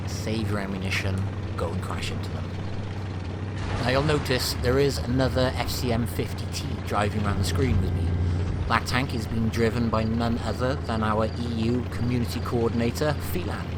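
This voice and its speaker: A man's voice: medium pitch, heavy background noise. A man from South Wales with a Welsh accent, giving chatty, jokey and enthusiastic commentary over game footage as a reviewer.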